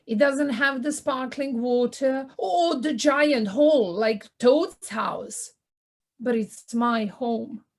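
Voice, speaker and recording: Audio play mole, played by a woman: earnest, homely, gentle, slow-spoken with a high pitch. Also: exaggerated voice. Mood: wistful. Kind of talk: community audio play acting. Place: living room, recorded over video call.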